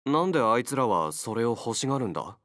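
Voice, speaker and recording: An actor playing a wary, feral young man in a game, a gruff loner fighter with blunt, halting speech. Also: medium pitch, very animated, a bit bright, no echo, clean studio sound. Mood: subdued, earnest. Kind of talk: Japanese game character voice acting.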